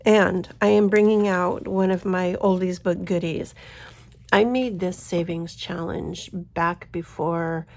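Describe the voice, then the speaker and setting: A woman chats casually as host of her budgeting channel. Her voice is stuffy from a cold, with a gravelly, creaky edge and a mellow, dark tone. She rambles along with frequent ums. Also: on the low side, fairly even intonation, takes it slowly. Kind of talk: casual vlog chat.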